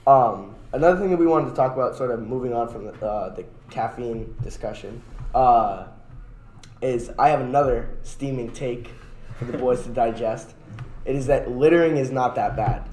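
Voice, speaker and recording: Male podcast co-host, opinionated, conversational, echoey room with clear background noise. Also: medium pitch, moderate pace, darkish tone. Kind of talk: casual debate among friends.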